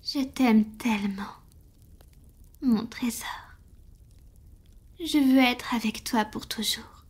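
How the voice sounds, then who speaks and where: A woman playing a tender, devoted female vampire in an intimate roleplay audio, speaking softly and affectionately, often in a breathy whisper. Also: a little high. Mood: devoted, gentle. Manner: slow, gentle, affectionate, with pauses. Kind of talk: French roleplay audio, soft intimate ASMR acting.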